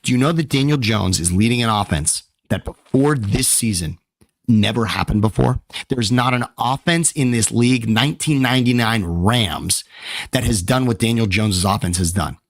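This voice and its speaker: A man co-hosting a sports talk show, excitable and emphatic, hyping up what he sees while straining his voice. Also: medium pitch, moderate pace, dry recording. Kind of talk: excited sports analysis chat.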